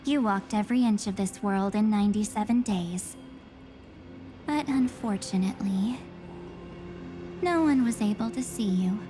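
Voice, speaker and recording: A woman voicing a calm, mysterious female double who lives inside another girl's memories, speaking slowly in a hushed, measured way. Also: mid-range voice, noisy background, lightly acted. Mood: somber. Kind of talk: video game cutscene voice acting.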